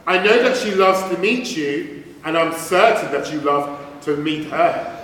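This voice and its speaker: A man voicing a plucky, clever young female duck with a bright, chirpy, coaxing manner. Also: fairly high pitch, animated, measured pace. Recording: echoey hall, from far off.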